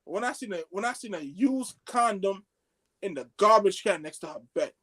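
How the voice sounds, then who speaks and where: A man telling a story among friends on a podcast, excitable and slangy, acting out the dialogue as he goes. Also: high-pitched, normal speed. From Haitian background. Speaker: podcast co-host.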